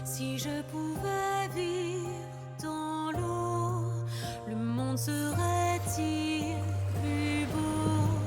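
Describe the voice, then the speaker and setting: A sea spirit in song, very high, slow, lyrical, with loud orchestral music. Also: female voice. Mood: longing. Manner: soaring, lyrical singing.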